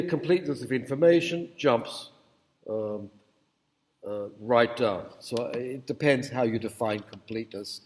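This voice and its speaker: A man lectures at mid pitch, wandering off on small asides and stopping to check in with the room, "okay?". His voice carries a long reverb from a large lecture hall. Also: a little mellow. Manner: conversational, digressive, checks in with the audience. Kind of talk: university lecture.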